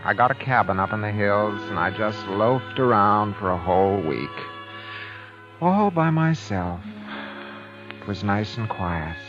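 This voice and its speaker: An actor playing a cold, self-satisfied murderer in a vintage radio drama, with a clipped, smug, impatient delivery. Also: male voice, on the low side, expressive, unhurried. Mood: smug, brooding. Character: cold, self-satisfied man who got away with murder. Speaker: actor narrating in first person as the lead. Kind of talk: 1940s-50s radio acting. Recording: dull vintage radio recording with background music.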